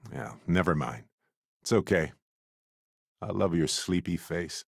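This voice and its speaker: Wry video game space explorer; lowish register, lively expressive inflection, conversational, big-hearted. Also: male voice, dry recording, studio quality. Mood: affectionate, teasing.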